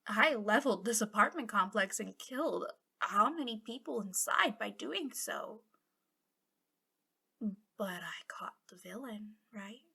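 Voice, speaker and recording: A woman's voice: mid-range voice, lively intonation, a little slow, gravelly, sharp tone, breathy edge, full character voice. A woman playing a bitter, impassioned female villain guarding a captive in an intimate ASMR roleplay, drifting between dramatic speech and whispers. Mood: scornful, musing.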